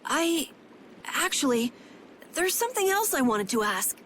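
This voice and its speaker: A woman voicing an earnest, excitable young boy adventurer in a video game. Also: sits high, measured pace, a little hiss or hum, exaggerated voice. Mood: hesitant. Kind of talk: animated video game dubbing.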